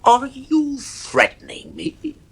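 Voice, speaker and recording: A man's voice: extremely high pitch, wildly swooping pitch, takes it slowly, vocal fry, fairly bright tone, husky, exaggerated voice. An actor playing a stern, worried middle-aged father in a radio drama, firm and exasperated. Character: stern, worried father at his wits' end.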